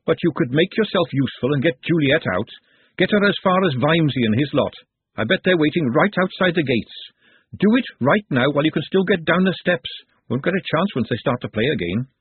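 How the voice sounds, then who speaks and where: A man voicing a brisk, bossy young woman with a husky voice. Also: on the high side, lively intonation, no echo, voice pushed up. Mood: urgent. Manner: urgent, bossy. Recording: tinny phone-like band.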